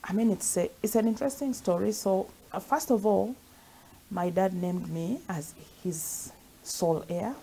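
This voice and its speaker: A woman in her forties with a Ugandan accent, giving measured, explanatory answers as an interview guest. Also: mid-range voice, warm tone, a little hiss or hum, poor audio. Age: 40s. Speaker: interview guest, charity director. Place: indoor sitting room. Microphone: lapel mic.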